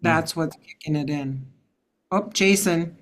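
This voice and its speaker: A woman's voice: low-pitched, slow. A woman casually sharing her own experience in an online group discussion. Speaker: webinar participant. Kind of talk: casual personal account.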